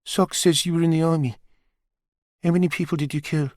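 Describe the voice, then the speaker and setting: A man's voice: fairly high pitch, steady tone, fairly quick, a little mellow, no room sound, studio quality, pitched above the performer's own voice, natural voice. A man voicing an unsettling, direct and insistent teenage boy in an audiobook. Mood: cold, insistent.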